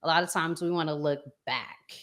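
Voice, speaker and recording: A woman hosting a relationship podcast, speaking casually in measured, thoughtful phrases. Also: deep voice, a bit bright. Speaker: podcast host. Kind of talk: casual conversational relationship talk.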